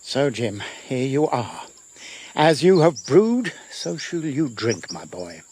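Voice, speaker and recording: An actor playing a stern yet fatherly gentleman doctor, formal and emphatic, with a husky voice, in an audio drama. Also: medium pitch, very animated, slow, dry recording, heavily acted. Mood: stern, pained.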